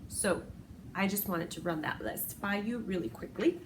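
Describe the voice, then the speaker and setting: Woman podcast host from Puerto Rico, native Spanish speaker, casual and self-correcting, middle pitch, faint background noise. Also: moderate pace. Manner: chatty, rambling, self-correcting with small laughs. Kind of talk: casual solo podcast chat.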